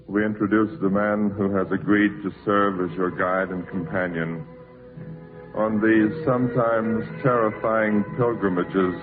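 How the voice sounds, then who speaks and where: A man announcing in a scripted, grandiose and dramatic 1940s radio style. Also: deep voice. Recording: muffled old radio recording over music.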